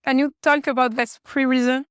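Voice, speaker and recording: A woman with a French accent asking interview questions haltingly, with many filler sounds, at a conference venue. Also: high-pitched, little pitch movement, clear, resonant tone, no echo, clean studio sound. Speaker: podcast host, interviewer.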